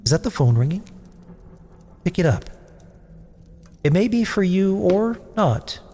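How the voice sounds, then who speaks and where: A man's voice: sits high, big pitch swings, unhurried, mellow. Man, scripted podcast intro. Dramatic storyteller delivery in big reverb, faint noise underneath. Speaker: podcast host introducing classic radio dramas. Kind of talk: scripted narration.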